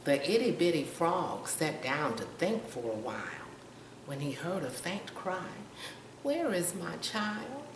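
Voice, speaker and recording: A woman's voice: low voice, big pitch swings, a little slow. An older woman reading a children's picture book aloud, pointing out pictures and putting questions to young listeners. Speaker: storytime reader. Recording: roomy, distant mic, faint noise.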